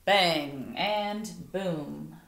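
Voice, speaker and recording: A woman reads a nature picture book aloud as expressive narration meant for children. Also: lowish voice, drawn-out words, noisy background. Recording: echoey room.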